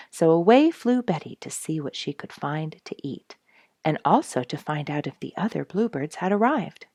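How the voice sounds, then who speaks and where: A woman's voice: sits low, dark tone, no room sound, studio quality. A woman reading a children's storybook aloud expressively, speaking directly to young listeners. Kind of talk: animated storybook read-aloud.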